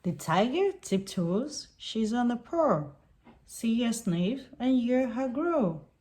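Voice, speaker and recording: A woman reading a picture book aloud to young children in an expressive way, putting on animal sounds. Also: on the high side, slow pace.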